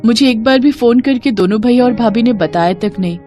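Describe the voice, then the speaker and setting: A woman's voice: on the high side, a little fast, darkish tone, faint music behind, lightly acted. A woman playing a hurt, puzzled young daughter-in-law in a cartoon family drama, earnest and questioning.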